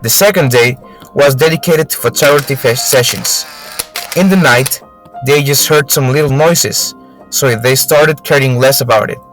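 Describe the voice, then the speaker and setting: A young man reads a scripted story as an amateur radio drama narrator. His pitch is average, and he trips on a word now and then. Quiet music plays behind him. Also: normal speed, low-quality recording. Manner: read aloud with stumbles.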